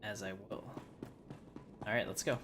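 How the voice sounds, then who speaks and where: Low-voiced male game streamer over noticeable noise. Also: steady tone, measured pace. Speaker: livestreamer, gamer. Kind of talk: casual game commentary.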